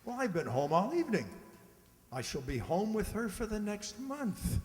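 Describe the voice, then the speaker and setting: A man's voice: high-pitched, very animated, moderate pace, darkish tone. A man acting in a radio play as a smug married schemer, breezy and politely reassuring, recorded in an echoey hall. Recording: echoey hall with long reverb.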